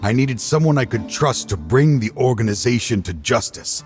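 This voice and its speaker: A man playing a remorseful, resolute father and dying official in a video game, speaking gravely and haltingly. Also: medium pitch, lively intonation, darkish tone, close to an everyday voice. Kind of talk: dramatic video game dialogue. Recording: game music behind the voice.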